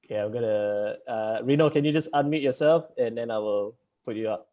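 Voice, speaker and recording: A man's voice: medium pitch, fairly quick, dark tone. A man hosting an online townhall for students, chatty and rambling, laughing often and using lots of fillers. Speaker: host. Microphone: video call. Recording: muffled video call audio.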